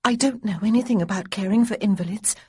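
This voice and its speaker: A woman voicing Honoria, a nervous, tender young gentlewoman from a storybook romance. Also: mid-range voice, slightly rough, voice pushed up. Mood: anxious. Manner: fluttery, quick, softly anxious.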